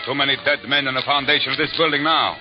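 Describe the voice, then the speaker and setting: A mid-pitched, fed-up ironworker played by a male actor, blunt and emphatic. It is old-time radio acting on a dull recording with music underneath. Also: steady tone, rapid-fire, natural voice. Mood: fed up, fearful. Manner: emphatic, simple blunt phrasing.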